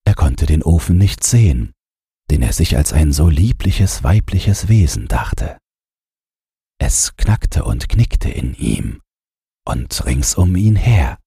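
A man reading a bedtime storybook as an audiobook narrator, with a gravelly, husky voice and measured, drawn-out phrasing. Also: rumbling low, dark tone, no room sound, studio quality. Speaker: audiobook reader.

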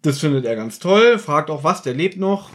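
A man's voice: on the high side, expressive, normal speed. A man hosting a podcast, chatting casually as he recaps an audio drama. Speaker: podcast host.

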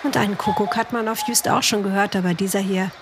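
A woman hosting a podcast in a chatty, informal, gently teasing conversational style. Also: mid-range voice, steady tone, fast talker, very noisy. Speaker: podcast host and author. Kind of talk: conversational interview with narrated passages.

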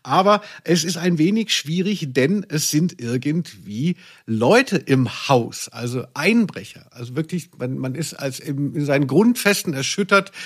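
A man with a fairly high voice hosts a podcast in a casual, humorous way. His delivery is ironic, with a touch of mock drama. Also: animated, a little slow, few pauses, slightly rough. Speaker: podcast host.

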